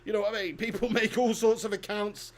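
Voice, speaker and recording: Chatty high-voiced guy streaming. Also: level tone, a little fast. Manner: chatty, laughs often, banters with viewers. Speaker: streamer hosting a football watch-along. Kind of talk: casual live commentary reading chat.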